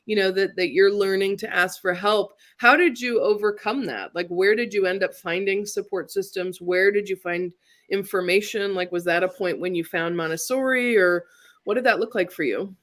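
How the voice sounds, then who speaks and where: A woman's voice: mid-range voice, moderate pace, fairly bright tone. Host, female, reflective and conversational, video-call audio, asks open questions. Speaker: livestream host. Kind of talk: conversational interview.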